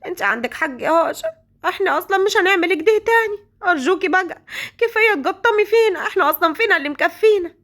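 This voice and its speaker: A woman's voice: very high-pitched, expressive, quick pace, fairly bright tone, voice pushed up. Woman doing a whiny, tearful young woman. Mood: remorseful. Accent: Egyptian Arabic. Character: weepy, remorseful young woman with a stomachache.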